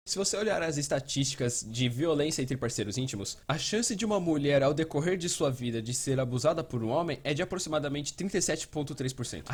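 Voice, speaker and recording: A man acting as a Brazilian mental health educator with a slightly high voice. He explains earnestly and conversationally, in an animated manner, like a dubbed educational video. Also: breathy edge. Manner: animated, acting out example dialogue.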